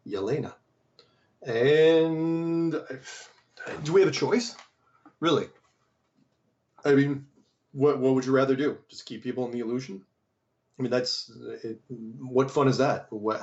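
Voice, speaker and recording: A man hosting a show who reads and answers listener mail in a casual, rambling way, his voice slightly hoarse and strained, asking many rhetorical questions. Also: mid-range voice, darkish tone, lo-fi sound. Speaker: podcast host reading listener mail.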